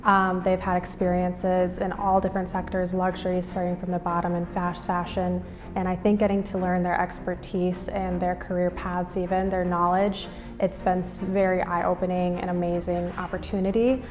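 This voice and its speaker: A woman's voice: fairly low pitch, level tone, moderate pace, a little mellow. A young woman from Minnesota with an American accent, giving an interview testimonial as a student. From Minnesota, United States. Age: young adult. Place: indoors against a plain wall and banner. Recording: reverberant hall, music underneath. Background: background music.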